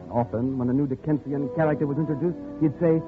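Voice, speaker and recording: A man's voice: on the high side, fairly even intonation, fast, phone-quality sound, over music, natural voice. Man acting an uneasy visitor, thin old radio sound. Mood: reflective. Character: uneasy, polite male visitor narrating his captivity. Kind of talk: 1940s radio drama narration and acting.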